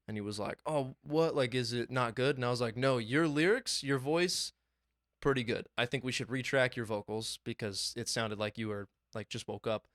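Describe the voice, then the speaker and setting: A young man co-hosting a podcast, talking excitedly and swearing a lot as he rambles through stories about mixing music in his small home studio. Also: medium pitch, moderate pace, no room sound. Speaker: podcast co-host and music producer. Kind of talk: casual chat.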